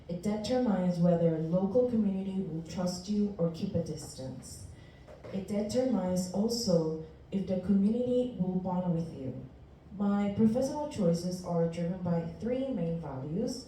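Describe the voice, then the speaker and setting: A woman in her twenties from Ecuador, a native Spanish speaker, giving an earnest, deliberate prepared presentation as a university student. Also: medium pitch, dark tone. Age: 20s. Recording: echoey room, distant mic, faint noise.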